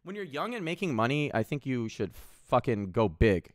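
A man's voice: medium pitch, very animated, normal speed, no room sound, studio quality. A man of thirty streaming from home, rambling in casual chat and swearing freely, with lots of restarts. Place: home room with window, indoors.

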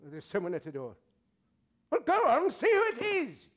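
Casting: bitter old male miser, high-pitched, very animated, grumbling and contemptuous, radio-play style. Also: moderate pace, noisy background, heavily acted. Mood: irritable, barking. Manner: grumbling, contemptuous, with mocking laughs. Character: bitter old miser, scornful and snappish businessman. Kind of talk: live staged reading of 1930s radio drama. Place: theatre stage, live performance.

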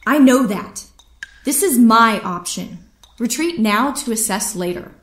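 A woman's voice: on the high side, expressive, unhurried. An actress playing a proud, bitter female captain held prisoner, with theatrical, formal phrasing in a radio drama. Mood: defiant. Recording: roomy, echoing sound.

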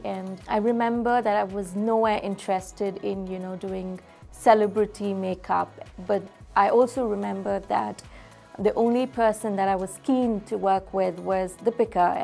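A woman in her thirties, a makeup artist, recalling her story warmly in a seated interview beside a makeup table. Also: medium pitch, gravelly. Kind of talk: sit-down interview. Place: studio flat with makeup table, indoors.

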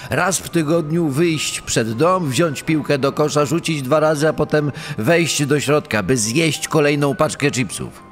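A man's voice: fairly high pitch, a little slow, few pauses, soft background music, lightly acted. Wry, exasperated father played by a man. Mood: wry, amused. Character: exasperated, sarcastic father joking about his lazy teenage son. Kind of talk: dramatized testimony in a true-crime podcast.

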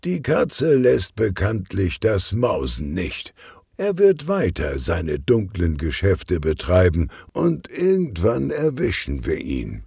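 A man voicing a determined, jovial older police detective uncle who grumbles deliberately in a children's audio story. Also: deep voice, huge pitch swings, vocal fry, darkish tone, breathy edge, dry recording, clean studio sound, exaggerated voice.

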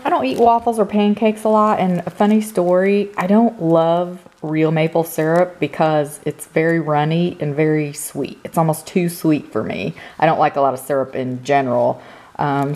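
A woman vlogger chatting casually about her groceries at her kitchen counter, slightly hoarse from a cold, rambling with many ums. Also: low-pitched, a little mellow, slight background noise. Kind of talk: casual grocery haul chat. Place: home kitchen, indoors.

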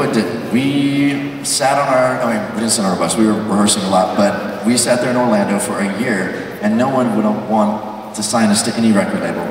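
A man's voice: on the low side, background noise. A young man from Mississippi with a Southern accent, giving a casual, joking storytelling talk as a guest speaker, full of fillers. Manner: chatty, joking, many fillers. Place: large lecture hall with audience. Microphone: handheld mic, filmed from far in the crowd. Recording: echoey hall taped from far off. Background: audience murmur and laughter.

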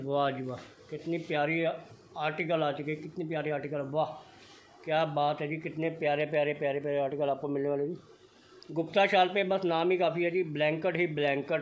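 Upbeat, high-voiced shop vlogger man. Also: fairly even intonation, fairly quick, rough recording. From Punjab, India. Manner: upbeat, repetitive hard-sell patter. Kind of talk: excited sales walkthrough. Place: indoor blanket shop.

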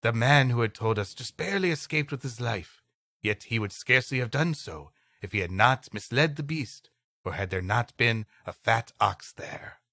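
Man, podcast co-host, expressive story reading, playful asides, lively intonation, dry sound. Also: mid-range voice, normal speed, no room sound. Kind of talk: reading an old adventure tale aloud with joking banter.

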